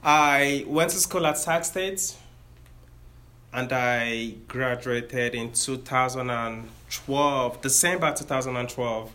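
Nigerian engineer in his thirties, bright forceful voice, friendly explainer to a class. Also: mid-range voice, takes it slowly. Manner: friendly, explains step by step with self-corrections. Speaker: engineer giving a career talk. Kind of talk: informal explanatory talk to students.

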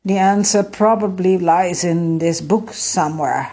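Lady doing a big old frog god for a kids story, self-important, slow, mellow. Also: fairly low pitch, husky. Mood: bemused. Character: huge pompous grandfather frog god, muttering, bemused.

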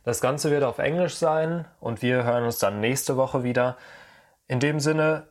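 A man's voice: mid-range voice, a little slow. A man opening a podcast episode in an upbeat, welcoming way. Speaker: podcast co-host, intro announcer. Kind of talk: podcast intro.

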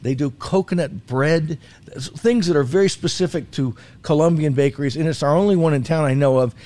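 A man's voice: a little high, brisk, dark tone. Male food commentator for casual radio chat, self-deprecating and jokey, repeats phrases for emphasis. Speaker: food commentator on a talk show.

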